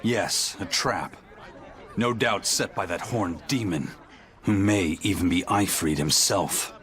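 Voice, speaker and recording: An actor playing a gruff, brooding pirate with a grave, terse manner in a video game cutscene. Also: male voice, low-pitched, slow, a bit bright, a faint crowd. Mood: grim. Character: brooding, gruff pirate man haunted by a cursed past. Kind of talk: English-dubbed video game cutscene acting. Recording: dramatic game music behind the voice.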